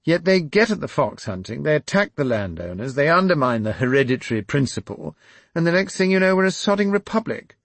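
Hotheaded young aristocrat, loud and high-pitched, ranting, as a man puts him on. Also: big pitch swings, brisk, no room sound. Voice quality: ranting, emphatic.

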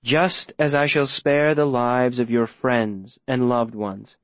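A smooth-talking villainous mayor, voiced by a male narrator, formal and measured. He sounds falsely gentle and reasonable over a cold menace, in a dry audiobook recording at a middling pitch. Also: steady tone, dry recording, natural voice. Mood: calm menace.

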